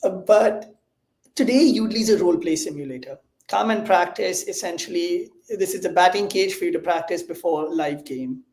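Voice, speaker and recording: A man with an Indian accent, a startup CEO talking as a guest in a conversational interview, energetic and quick, sometimes repeating words as he starts. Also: sits high. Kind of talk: casual conversational explaining. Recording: roomy echo on voice.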